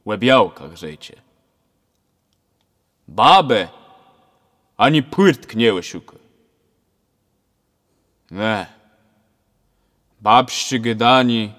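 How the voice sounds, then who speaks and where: A man's voice: lowish voice, fairly bright tone, full character voice. A man playing a jovial, preachy village priest with a Kashubian accent in an amateur radio play, speaking in a sing-song sermon manner. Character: older male village priest, jovial, preachy, counselling a young couple. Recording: very reverberant hall-like sound.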